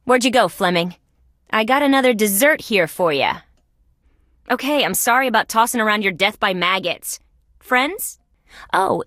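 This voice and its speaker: A woman voicing a young, wisecracking female insect police detective in a video game, with sardonic, deadpan quips. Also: on the high side, no echo, clean studio sound. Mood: alert, brisk.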